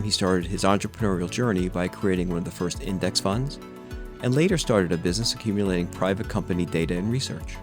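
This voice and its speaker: A man in his sixties hosting a podcast, reading a formal, measured introduction and asking questions. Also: low-pitched. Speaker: podcast host. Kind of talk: scripted intro and interview questions.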